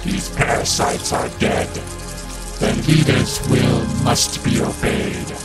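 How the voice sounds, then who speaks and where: Slow, gravelly menacing alien. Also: male voice, mid-range voice, big pitch swings, over music, full character voice. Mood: cold menace. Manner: slow, menacing, deliberate delivery. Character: menacing alien creature bent on purging human parasites. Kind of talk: audio drama acting.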